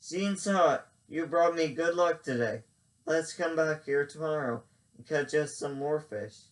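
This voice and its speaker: Male reader as Boudreaux: helpful Cajun fisherman, raised pitch, bright and eager. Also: unhurried, lightly acted, low-quality recording. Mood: cheerful.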